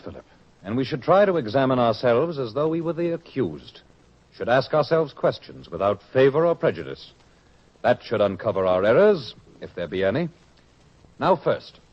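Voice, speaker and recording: A man playing a proud, argumentative disciple in a radio drama, speaking in a questioning, oratorical way. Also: medium pitch. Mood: earnest questioning. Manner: questioning, self-assured, oratorical. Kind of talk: old-fashioned radio drama acting. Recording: dull lo-fi radio drama recording.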